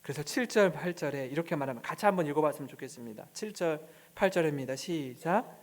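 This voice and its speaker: Animated high-voiced male preacher. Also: quick pace. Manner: animated storytelling, asks the congregation questions; acts out scripture scenes with voices. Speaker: pastor. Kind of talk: sermon.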